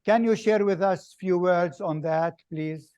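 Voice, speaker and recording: A man hosting an interview, asking questions slowly and hesitantly with many fillers. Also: sits high, a little mellow, clean tone, dry recording. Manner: slow, hesitant, many uhs. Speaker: host. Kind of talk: video call interview.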